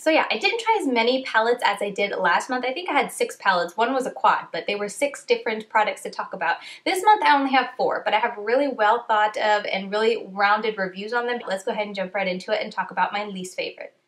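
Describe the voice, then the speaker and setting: A woman's voice: mid-range voice, fairly bright tone. A young woman with a stuffy nose from a cold, chatting casually through a makeup review. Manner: chatty, rambling with many "like"s. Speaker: beauty vlogger.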